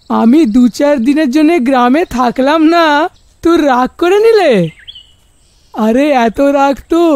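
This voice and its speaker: Man acting high-pitched, slow-drawling brash villager. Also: dry recording. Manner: drawling, exclamatory, repeats himself. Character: brash, bantering village man in a fairy-tale cartoon.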